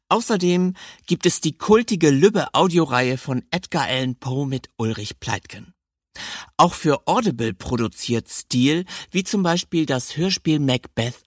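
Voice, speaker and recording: Guy hosting a talk podcast, animated delivery, bit of husk, very dry sound. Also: medium pitch, no room sound. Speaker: podcast host and interviewer. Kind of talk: audiobook talk podcast, chatty presenting.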